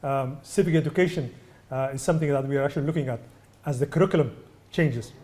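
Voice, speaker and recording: A man from Sudan, a UNICEF representative, answering press questions in a measured way with many hesitations. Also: on the high side, a little mellow. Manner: measured, many ums and uhs, restarts. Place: indoor venue.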